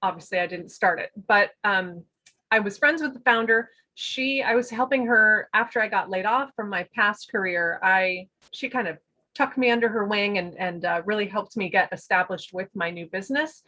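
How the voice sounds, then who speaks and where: A woman's voice: mid-range voice, moderate pace, pushed and bright, low-quality recording. A woman, a guest and business owner, talking chattily over a video call with frequent fillers and light laughter. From United States. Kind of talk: casual interview talk.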